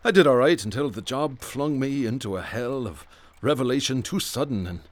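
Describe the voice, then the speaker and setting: A man's voice: mid-range voice, lively intonation, moderate pace, lightly acted. A man playing a brooding, bookish Irish police detective who speaks in ornate, literary phrases in a radio drama. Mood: haunted.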